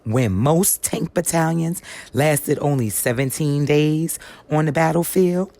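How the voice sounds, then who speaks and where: A woman reads as a folksy, plainspoken grandmother telling history from a storybook. Her delivery is very slow and measured, like someone passing a story down in the first person. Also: low-pitched, warm tone. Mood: proud. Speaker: reader of a children's history book. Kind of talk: scripted storybook read-aloud in first person.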